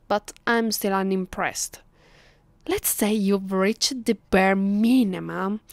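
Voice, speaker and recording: A woman with an Italian accent voicing a haughty, possessive vampire mistress in a close, hushed ASMR roleplay, condescending and commanding. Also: mid-range voice, vocal fry, dry recording. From Italy. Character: haughty, possessive female vampire mistress addressing her captive. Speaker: ASMR voice actress. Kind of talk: ASMR roleplay, slow, close, often whispered. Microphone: close microphone, dry.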